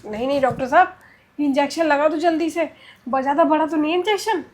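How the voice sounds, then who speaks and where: A woman's voice: sits high, a little fast, darkish tone, close to an everyday voice. An actress playing a naive young woman in a PG room who whines and pleads with a doctor about her itch. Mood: fearful.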